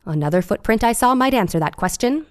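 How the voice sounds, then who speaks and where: An actress playing an earnest, eccentric Victorian woman doctor in an audio drama, telling things in a formal, deliberate way. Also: fairly high pitch, expressive, no room sound, studio quality, lightly acted. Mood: earnest, worried.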